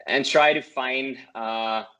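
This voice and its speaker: A man's voice: mid-range voice, steady tone, takes it slowly, sharp tone, clean tone, lo-fi sound. A man in his forties from Germany with a German accent, talking earnestly as a guest on a video call interview and often tagging his points with "right?". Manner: earnest, tags many phrases with "right?". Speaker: interview guest, course student. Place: home room indoors. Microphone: video call webcam.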